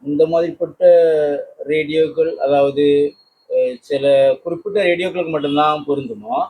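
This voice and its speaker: Male guest, high pitch, informal and repetitive phrasing, somewhat muffled recording. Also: moderate pace. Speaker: guest, collector of vintage radios. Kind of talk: informal testimonial talk. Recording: dull sound with faint background noise.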